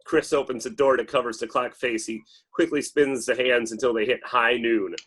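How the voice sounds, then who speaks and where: Man narrating action screenplay, medium pitch. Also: steady tone, moderate pace, a bit bright, slightly rough. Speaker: stage direction reader. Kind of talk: dramatic scripted narration at a table read.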